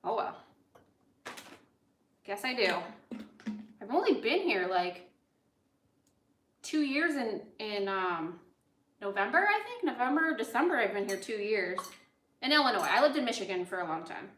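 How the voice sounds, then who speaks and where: Bright, chatty American woman in an echoey room. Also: a little high, big pitch swings, unhurried, long pauses. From United States, grew up in Los Angeles. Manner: chatty, rambling, often drifting into asides. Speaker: host of a cooking livestream, chef. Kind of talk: casual livestream chat while cooking. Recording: roomy, echoey indoor sound.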